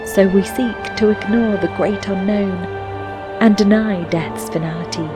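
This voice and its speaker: A woman playing a reflective narrator who recites melancholy fantasy poetry in a measured, solemn, lyrical way for a video game. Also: mid-range voice, natural voice. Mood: contemplative. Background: music.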